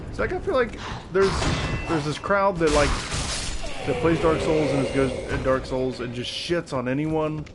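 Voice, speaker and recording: A man's voice: on the high side, normal speed. A man chatting casually as co-host while he watches a video game, joking and swearing a little. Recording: very noisy, constant loud background hum.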